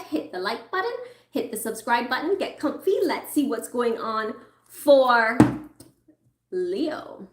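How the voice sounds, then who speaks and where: A woman reading tarot cards for her viewers in a chatty, reassuring way. She is losing her voice and keeps clearing her throat. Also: high voice, echoey room. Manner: chatty, reassuring, thinks aloud while pulling cards. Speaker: tarot reader.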